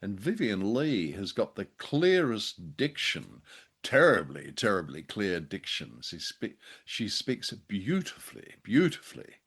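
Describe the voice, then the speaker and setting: Low-pitched older man, droll co-host. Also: wildly swooping pitch, measured pace, a bit bright, husky. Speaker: podcast co-host. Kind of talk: casual film and radio drama discussion.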